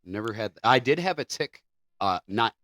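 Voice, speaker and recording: A man's voice: mid-range voice, animated, normal speed, fairly bright tone, no room sound. A man co-hosting a podcast, telling a story casually with restarts and casual swearing. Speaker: podcast co-host.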